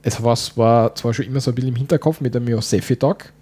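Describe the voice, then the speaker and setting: A man's voice: medium pitch, fairly even intonation, fast talker, warm tone, husky. Austrian man with a rolling R. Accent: Austrian German. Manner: rolling R, Austrian dialect drifting in. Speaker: podcast co-host. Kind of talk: casual chat.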